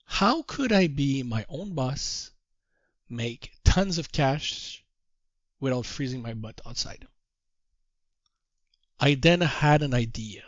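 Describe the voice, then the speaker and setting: French Canadian man, native French speaker, mid pitch, halting English, storytelling with refrains; dry, slightly dull audio. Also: dry recording. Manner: self-deprecating, halting English. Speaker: trading course presenter. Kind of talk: presentation to a group call.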